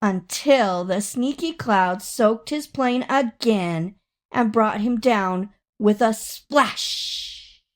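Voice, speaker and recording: A woman reading a children's story aloud in an expressive, dramatic way, drawing out words for emphasis. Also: mid-range voice. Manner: dramatic, with drawn-out emphasis and acted sound words. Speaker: storybook reader.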